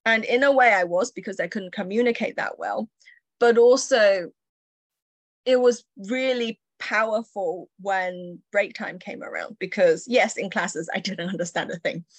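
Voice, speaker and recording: A woman's voice: mid-range voice, unhurried, no echo. A woman from China, an entrepreneur, telling her story in an interview with light laughter. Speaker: entrepreneur guest.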